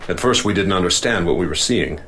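A middle-aged actor narrating in the first person as a professor leading an expedition, in a measured, grave manner. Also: male voice, deep voice, animated, a bit bright, a little hiss or hum.

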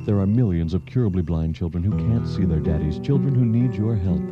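Earnest male announcer, low voice, dull radio sound with loud music. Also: brisk. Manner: earnest, appealing. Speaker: charity ad announcer. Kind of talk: radio ad read.

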